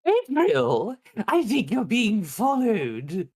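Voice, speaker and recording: A woman's voice: mid-range voice, huge pitch swings, takes it slowly, darkish tone, dry recording, clean studio sound, heavily acted. A woman playing a half-blind, doting elderly former actress at a table read, fussy, coaxing and theatrical. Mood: fussy, contrary.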